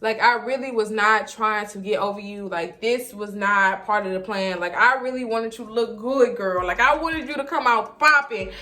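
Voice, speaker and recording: A woman vlogger telling a casual story in an animated, emphatic, slangy way, often repeating herself. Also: mid-range voice, normal speed, few pauses. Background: background music.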